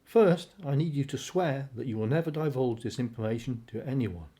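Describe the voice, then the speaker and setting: Man playing a mellow, secretive husband. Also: mid-range voice, expressive, fairly quick, natural voice. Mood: earnest, secretive. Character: evasive, secretive but caring husband. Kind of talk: radio play acting.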